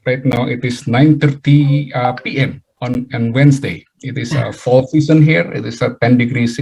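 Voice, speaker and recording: A middle-aged man with an Indonesian accent giving a webinar lecture over a video call, halting, with many fillers and restarts. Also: mid-range voice, fairly even intonation, gravelly, a little mellow, husky, poor audio. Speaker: guest speaker, chemical engineering professor.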